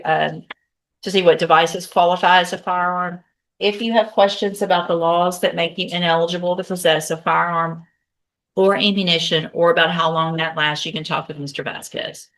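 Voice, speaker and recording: An American woman presiding as a judge, with a slightly low voice. She speaks in a firm, plain-spoken and formal way, as in courtroom proceedings. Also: a bit bright. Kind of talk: courtroom sentencing and docket proceedings.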